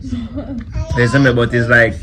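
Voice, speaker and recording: A man's voice: medium pitch, sing-song, slow, over music. A young man with a Jamaican accent chatting casually in patois for a family vlog, often saying "you see me". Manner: laid-back patois talk with repeated "you see me". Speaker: vlogger. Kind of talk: casual chat.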